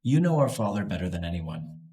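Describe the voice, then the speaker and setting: Young male heir, smug, scheming, swooping lively pitch, played by a man. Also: brisk, husky, exaggerated voice. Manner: smug, taunting, then indignant. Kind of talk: melodrama acting.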